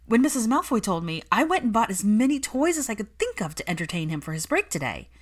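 A woman voicing a giddy, chirpy young nanny witch in an audiobook, animated and perky. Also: medium pitch, quick pace, pitched above the performer's own voice. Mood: excited. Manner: animated, perky, sing-song with excitement. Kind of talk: audiobook character voice.

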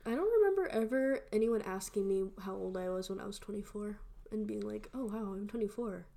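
A woman in her twenties chats as a podcast co-host. Her talk is casual and informal, full of everyday filler slang, with a mid-range pitch for a woman. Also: lively intonation, a little fast, creaky, mellow. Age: 20s. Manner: chatty, informal storytelling with frequent "like". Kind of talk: casual chat.

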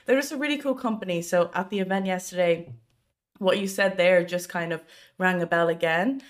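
A woman's voice: fairly low pitch, brisk. A woman in casual podcast conversation, explaining things informally and thinking aloud as she goes. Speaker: podcast speaker, business owner.

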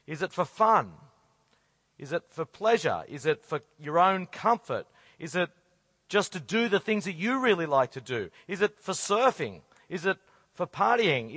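A middle-aged man with a hoarse, croaky voice, preaching a sermon with earnest rhetorical questions. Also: a little high, a bit bright, no echo. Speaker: conference speaker.

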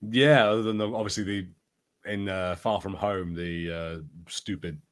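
A man's voice: low voice, fairly even intonation, moderate pace. A man co-hosting a podcast, talking casually and conversationally. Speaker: podcast co-host. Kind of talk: casual pop culture discussion.

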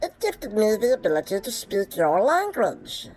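A woman's voice: mid-range voice, sing-song, normal speed, darkish tone, faint music behind, natural voice. A woman voicing a sassy, snobbish male badger with a British accent who talks down to everyone. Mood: snide. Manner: condescending, insulting. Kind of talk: comedy audio drama acting.

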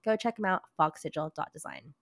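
A woman hosting a casual podcast, her voice hoarse and raspy from a cold, bubbly and gushing as she talks. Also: deep voice, quick pace, fairly bright tone, no echo, clean studio sound. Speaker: podcast host.

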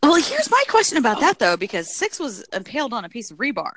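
High, bright, laughing woman. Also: fast talker. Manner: laughs loudly and often, animated. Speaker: podcast co-host. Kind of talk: casual chat.

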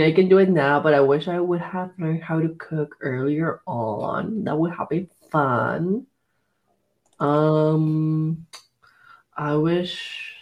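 A young man co-hosts a podcast and talks casually in a gravelly voice with plenty of fry. He hesitates often and fills the gaps with "um" and "like" while he thinks aloud. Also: on the high side, dull audio. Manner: hesitant, many "um" and "like" fillers. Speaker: podcast co-host. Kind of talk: casual chat.

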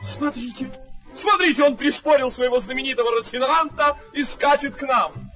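An actor in a radio play voicing a playful, pompous duke with a grand, courtly, excitable manner. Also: male voice, very high-pitched, normal speed, soft background music. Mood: gleeful. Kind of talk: theatrical radio drama acting.

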